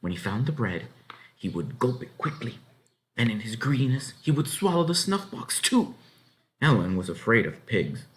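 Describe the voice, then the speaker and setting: A man reading a children's novel aloud as narrator, in an expressive, dramatic way. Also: mid-range voice, normal speed, rough recording. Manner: dramatic, animated. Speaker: story reader.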